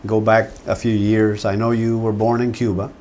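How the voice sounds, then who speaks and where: A man hosting a local arts interview show, talking in a relaxed way with frequent fillers. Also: fairly low pitch, normal speed. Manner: friendly, relaxed with filler words. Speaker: host. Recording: faint background noise.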